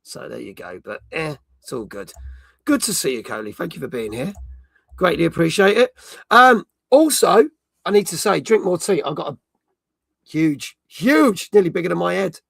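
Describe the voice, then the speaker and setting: A middle-aged man with a croaky voice, hosting a casual live stream and chatting with viewers in cheeky banter. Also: sits high, big pitch swings, moderate pace, no echo. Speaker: livestream host. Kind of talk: casual live-stream chat, reading chat comments.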